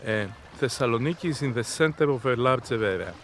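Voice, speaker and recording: A man in his fifties answering interview questions in a halting, deliberate, unscripted way. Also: medium pitch, animated, a bit bright. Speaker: interviewee, innovation zone representative. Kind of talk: spoken interview, unscripted explanation. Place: outdoors on a sea beach. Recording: outdoor seaside background noise.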